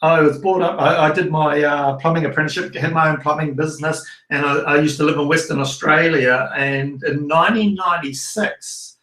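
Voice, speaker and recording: A man's voice: a little high, steady tone, fairly quick, a bit bright. Rambling New Zealand man, echoey room. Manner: loose and rambling, with many restarts and fillers. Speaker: prosperity coach. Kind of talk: online masterclass talk.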